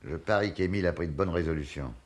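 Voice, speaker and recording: An actor playing a shrewd, indulgent detective boss in a French radio play, with a genial, persuasive manner. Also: male voice, sits low, quick pace, close to an everyday voice. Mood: indulgent, coaxing.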